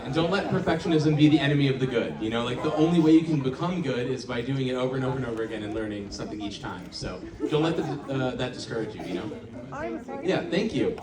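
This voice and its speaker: A man hosting a live fan Q&A panel, upbeat and brisk as he moves things along. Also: medium pitch, lively intonation. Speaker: event host running fan questions. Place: large event venue indoors. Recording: roomy, distant, crowd behind.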